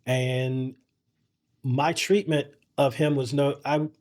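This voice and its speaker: A middle-aged man, a podcast guest, telling personal stories in an earnest, measured way with frequent restarts. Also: mid-range voice, fairly even intonation, darkish tone. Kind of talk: reflective conversational storytelling.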